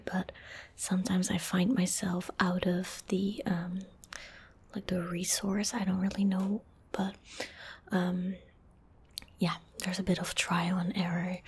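Young female ASMR creator, hushed and very breathy whisper, gentle delivery, fairly even intonation, close-miked. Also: lowish voice. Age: young adult. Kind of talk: soft-spoken ASMR. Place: small indoor room at home. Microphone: close desk microphone.

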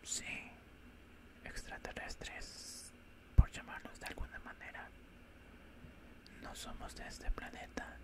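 A man voicing a cold, condescending alien scientist in a whispered ASMR roleplay, speaking in a calm, clinical, breathy whisper close to the microphone. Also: lightly acted. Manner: slow, calm, clinical, faintly menacing. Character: cold, condescending male alien scientist examining a human specimen. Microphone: close-up studio microphone.

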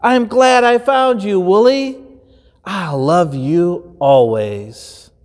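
A man voicing a loving shepherd who calls out for his lamb in drawn-out singsong, in a storybook reading. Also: darkish tone. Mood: joyful, tender. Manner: drawn-out, high singsong calling. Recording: long hall reverb.

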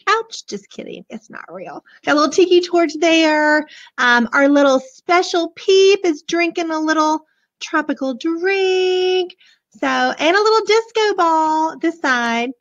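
A hoarse woman in her forties, chatting bubbly and gushing to viewers as she shows a children's book on a webcam. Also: extremely high pitch, a little slow. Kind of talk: casual live book show-and-tell. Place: small room indoors, decorated backdrop. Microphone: webcam at desk. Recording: dull lo-fi webcam audio.

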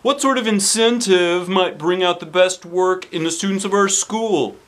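A man voicing an emphatic, commanding male school principal in a children's storybook, booming and declamatory. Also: moderate pace, a bit bright.

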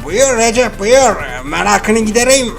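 Man voicing a boastful scheming rich guy, whiny, fairly high voice, cartoon. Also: measured pace, creaky, faint music behind, full character voice. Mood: smug. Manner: boastful, whiny when upset. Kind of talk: toy cartoon voice acting.

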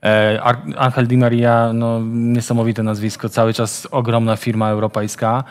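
A man talking football as a studio pundit, steady and evaluative, in a TV studio. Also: mid-range voice, normal speed.

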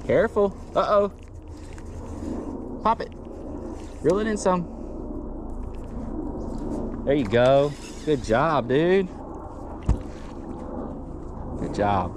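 A man, chatting casually and coaching his young son while bass fishing from a boat. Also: very animated, slow pace, long pauses. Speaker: fishing channel host. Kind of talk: casual outdoor vlog talk. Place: outdoors on a bass boat on a river. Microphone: action camera on the boat. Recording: outdoor camera audio with clear wind and water noise.

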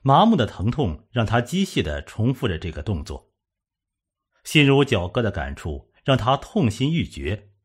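A man's voice: on the low side, very animated, moderate pace, breathy edge. A man narrating a suspense audiobook in a dramatic, solemn storytelling manner.

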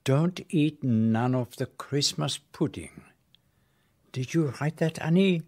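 A man's voice: mid-range voice, lively intonation, slow pace, darkish tone. A man playing a precise, formal Belgian detective in a radio play. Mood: measured. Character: fussy Belgian detective, middle-aged man. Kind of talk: radio drama acting.

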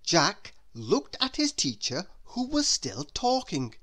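A woman reading a children's story aloud in a deliberate, expressive way, as if to a young listener. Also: fairly low pitch. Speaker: storybook reader. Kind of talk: scripted children's story narration.

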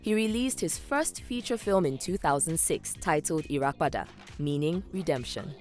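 Female narrator, low-ish and very lively pitch, polished documentary read over clear music. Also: normal speed. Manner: polished, expressive.

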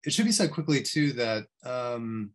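A middle-aged male lecturer with a medium-pitched voice presents his research over a video call. He speaks informally and haltingly, working through his thoughts as he goes. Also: very animated. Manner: halting, thinking aloud, self-correcting. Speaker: history professor presenting research. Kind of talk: informal academic talk with slides.

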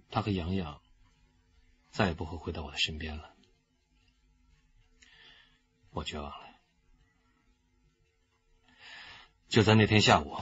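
An actor playing a young, arrogant, unrepentant killer confessing calmly in a radio crime drama. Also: male voice, low voice, lo-fi sound. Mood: cold, subdued. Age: young adult. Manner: unrepentant, coldly deliberate.

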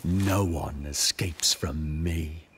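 An actor playing a stern, mysterious otherworldly being in a video game, speaking formally and with command. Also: male voice, extremely low pitch, very slow, very noisy, full character voice. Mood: haughty, menacing. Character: stern, imperious, mysterious otherworldly being who guards fate. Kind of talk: theatrical video game voice acting. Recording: music under the voice.

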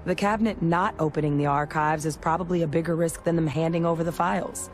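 A woman playing a candid, measured military veteran in a video game, speaking deliberately. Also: sits low, steady tone, soft background music, lightly acted. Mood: measured, reassuring. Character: measured, candid female soldier-scientist, war veteran.

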